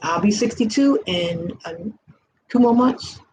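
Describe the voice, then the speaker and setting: A woman's voice: mid-range voice, lively intonation, a little slow. A woman in her early sixties giving fervent, repetitive faith encouragement in an informal vlog. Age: 60s. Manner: fervent and repetitive, preacher-like exhortation. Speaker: faith vlogger. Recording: noisy background hiss.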